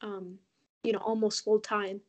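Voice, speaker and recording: A woman from Mexico, a podcast guest, talking in a relaxed, chatty way with frequent ums. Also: mid-range voice, a little slow. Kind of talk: casual interview conversation.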